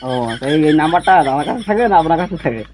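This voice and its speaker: Male prank caller, West Bengali accent, higher-pitched and quick, persistent teasing questions, faintly noisy phone recording. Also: expressive, darkish tone. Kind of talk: casual prank phone call. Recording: phone call with faint noise.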